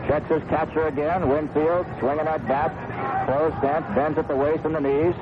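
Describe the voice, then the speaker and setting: A man's voice: fairly high pitch, little pitch movement, moderate pace. An American man calling baseball play-by-play in 1970s radio style, conversational, descriptive and folksy. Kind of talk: 1970s live radio sports commentary. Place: open broadcast booth at a baseball stadium. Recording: dull vintage radio broadcast, loud stadium noise. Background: loud ballpark ambience.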